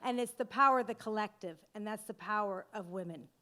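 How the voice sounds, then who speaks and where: A woman's voice: medium pitch, brisk. Woman running a panel, punchy, fired up, mid-range voice. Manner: forceful, rallying, punchy declarations. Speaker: moderator. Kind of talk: panel discussion.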